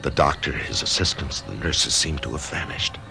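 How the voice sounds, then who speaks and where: An actor playing an author who narrates his own death in a whispery, hushed voice, stammering with wonder, in a radio drama. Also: extremely low pitch, normal speed, vocal fry, over music. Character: dying male author, awed, curious. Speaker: narrator and lead.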